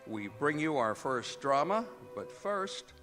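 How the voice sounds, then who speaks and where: A man's voice: fairly high pitch, big pitch swings, takes it slowly, fairly bright tone. A man hosting live radio theater on a performing arts stage, reading announcements and sponsor spots in a projected, presentational way. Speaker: announcer and host. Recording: hall reverb, faint music.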